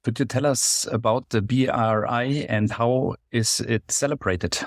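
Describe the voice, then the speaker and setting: A man's voice: medium pitch, fairly even intonation, unhurried, dry recording, studio quality. A man hosting a business podcast, speaking in formal, scripted phrasing as he introduces and interviews. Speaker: podcast host.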